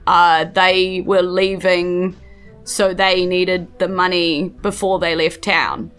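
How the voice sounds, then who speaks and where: A woman's voice: fairly low pitch, little pitch movement, takes it slowly, fairly bright tone, clear, resonant tone, faint music behind. A woman with a New Zealand accent playing an easygoing, trusting male apothecary shopkeeper in a tabletop game, explaining things casually and hesitantly. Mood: defensive, hesitant.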